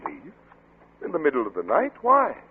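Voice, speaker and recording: A middle-aged scientist haunted by what controls him. His melodramatic delivery is intense and halting, with sweeping inflection. It sounds like an old radio thriller, thin and bandlimited under clear hiss. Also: on the high side, huge pitch swings. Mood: bewildered. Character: obsessed scientist father, tormented and fearful of a controlling brain. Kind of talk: 1940s radio drama acting. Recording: old muffled radio recording with heavy noise.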